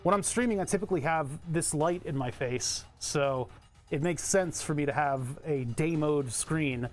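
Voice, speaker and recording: A man streaming from his home setup, chatting casually in a rambling, self-interrupting way with a bright, trebly voice. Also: a little high, moderate pace, faint music behind.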